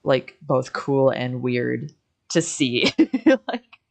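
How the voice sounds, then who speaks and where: Young American streamer, mid-pitched voice, casual and rambling, doubles back on phrases mid-story. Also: very animated, drawn-out words, gravelly. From Michigan, United States. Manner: rambling, backtracking with many repeated phrases. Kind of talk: casual chat on a livestream.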